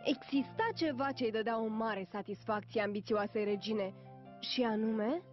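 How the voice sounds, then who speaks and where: A woman narrator reading a scripted fairy tale for a cartoon. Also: on the high side. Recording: muffled old cartoon soundtrack, music behind.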